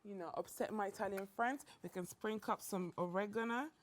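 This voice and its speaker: A woman's voice: medium pitch, brisk, slight background noise. A young woman in her twenties demonstrating a simple recipe on a studio show, chatty and encouraging. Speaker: guest cook. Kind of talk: cooking demonstration.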